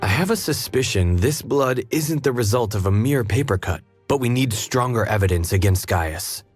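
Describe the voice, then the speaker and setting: An actor playing a composed, earnest detective in an adventure game, courteous and deliberate as he guides his partner. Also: medium pitch, expressive, slightly rough, faint music behind. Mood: composed, resolute. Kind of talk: scripted adventure game dialogue.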